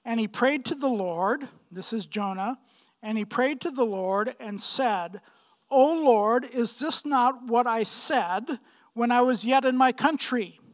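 A man in his fifties from Pakistan preaching a sermon, with emphatic, deliberate phrasing and frequent repetition. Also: extremely high pitch. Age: 50s. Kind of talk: sermon, expository preaching with personal anecdotes.

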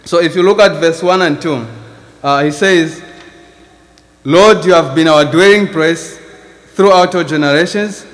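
A Zambian man preaches in a slightly high voice, earnest and steady. He lifts his voice with emphasis as he reads scripture, and a long church reverb trails behind each phrase. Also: a little slow, long pauses. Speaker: visiting preacher. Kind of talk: sermon.

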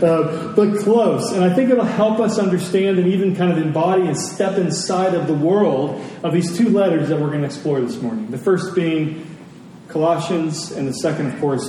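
A man preaching in a conversational sermon style, earnest and rhetorical, pausing deliberately for weight. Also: fairly high pitch, dark tone. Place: large reverberant room, church service. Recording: very echoey large hall, distant, noisy.

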